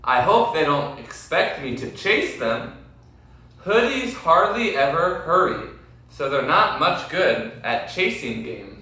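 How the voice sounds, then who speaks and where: A man puts on a big, good-natured storybook creature with a puzzled, friendly manner. The delivery is unhurried and swings widely in pitch. It sounds distant, in a very echoey room. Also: very animated, pushed and bright, exaggerated voice. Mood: puzzled musing.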